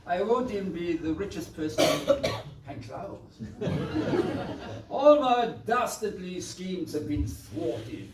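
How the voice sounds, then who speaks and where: A man's voice: sits high, big pitch swings, takes it slowly, heavily acted. A man playing a pompous, scheming pantomime villain and father with theatrical delivery, recorded in an echoey stage setting. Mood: thwarted, exasperated. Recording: echoey theatre stage, distant mic, loud noise.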